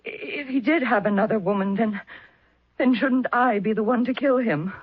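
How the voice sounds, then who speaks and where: An actress playing a proud widow who stammers defensively under questioning, in a 1970s radio drama. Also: female voice, fairly high pitch, moderate pace, breathy edge, no echo. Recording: muffled dry old radio broadcast.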